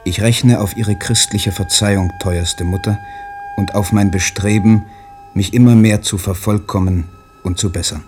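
An actor playing a withdrawn, submissive poet son reading out stilted, deferential letters in a radio play. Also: male voice, lowish voice, steady tone, normal speed, darkish tone, slight background noise, lightly acted. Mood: stiff, submissive.

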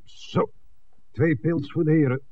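An actor playing a middle-aged village innkeeper, courteous, guarded and inquisitive, in a 1960s Dutch radio play. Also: male voice, fairly high pitch, a little slow, dark tone, natural voice. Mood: businesslike. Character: polite, reserved village innkeeper, a father born locally. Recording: thin, phone-like 1960s radio recording.

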